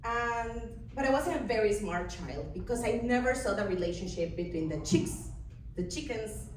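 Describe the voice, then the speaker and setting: A woman from Costa Rica with a Costa Rican Spanish accent, performing a wry, deadpan stand-up set in a comedy club. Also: mid-range voice, moderate pace. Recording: far-off echoey room with background noise. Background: audience laughter and ambience.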